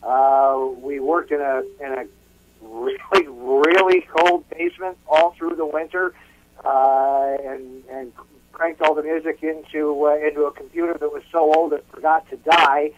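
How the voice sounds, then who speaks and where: A man's voice: a little high, fairly even intonation, unhurried, phone-quality sound, a crowd in the background. A man speaking as a musician guest in a casual interview, repeating words as he explains.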